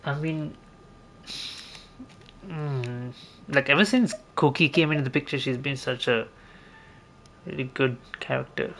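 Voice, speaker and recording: A man's voice: mid-range voice, a little slow, pauses often, a bit bright, a little hiss or hum. A man giving casual gameplay commentary, thinking aloud in a hesitant mutter. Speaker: game streamer. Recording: game music behind the voice. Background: video game music.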